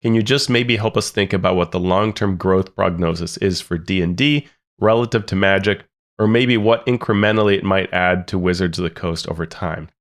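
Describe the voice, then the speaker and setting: Man, podcast co-host, gravelly, lowish voice, casual chat. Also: normal speed, few pauses. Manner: reads quotes aloud then comments, many restarts.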